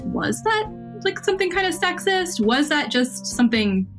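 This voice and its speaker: A woman's voice: extremely high pitch, sing-song, normal speed, a bit bright. Casual woman interviewee, music behind. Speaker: podcast interviewee, engineer.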